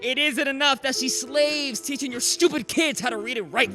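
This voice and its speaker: High, belligerent bar brawler acted by a man. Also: moderate pace, music in the background. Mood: angry, threatening. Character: belligerent, threatening drunk-bar husband. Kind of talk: live radio play acting.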